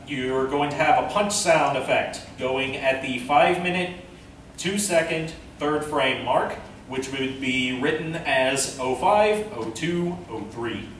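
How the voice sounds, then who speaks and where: A man's voice: fairly high pitch, a bit bright. A man presenting an instructional panel in a casual, step-by-step explanatory way. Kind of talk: instructional convention panel talk. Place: indoor convention panel room, recorded from a distance. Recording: very echoey room, distant mic, faint noise.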